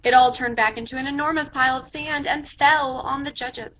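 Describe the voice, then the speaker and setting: A woman's voice: sits high, fairly even intonation. A woman reading a picture book aloud to children in a theatrical way, drawing out phrases for emphasis. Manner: theatrical, drawn-out phrases with emphatic character voices. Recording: dull lo-fi sound with background noise.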